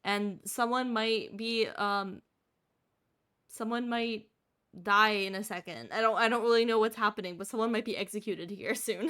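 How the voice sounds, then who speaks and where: A woman's voice: mid-range voice, fairly even intonation, moderate pace, bright, forceful tone, clean tone. A woman streamer chatting casually to her live audience in a bubbly, giggly way. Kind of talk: casual livestream chat.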